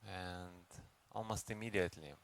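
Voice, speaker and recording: A man leads a guided meditation as a teacher, speaking gently and unhurriedly with long pauses. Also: sits low, gravelly. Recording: noisy recording with ambient background sound.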